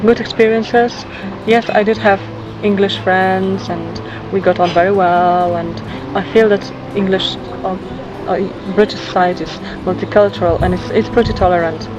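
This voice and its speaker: A woman's voice: mid-range voice, fairly even intonation, normal speed, over music, rough recording. Candid Polish woman. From Poland. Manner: candid, reflective, some restarts. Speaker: interviewee. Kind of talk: casual interview answers.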